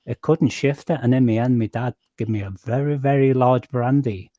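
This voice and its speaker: A man's voice: medium pitch, steady tone, moderate pace, warm tone, no room sound, muffled recording. A middle-aged English man hosting a casual group voice chat and telling chatty stories with a laugh. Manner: chatty storyteller, laughs often.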